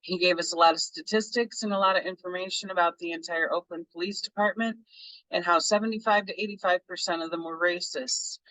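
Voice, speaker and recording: A man reading a memoir aloud for a book club, in a relaxed and appreciative way. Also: high-pitched, no room sound, rough recording.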